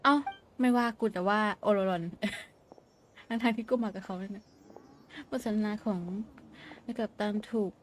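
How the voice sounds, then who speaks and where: A woman's voice: medium pitch, steady tone, racing, pauses often, slight background noise. A woman game streamer giving casual, blunt, teasing commentary in an informal way while she plays.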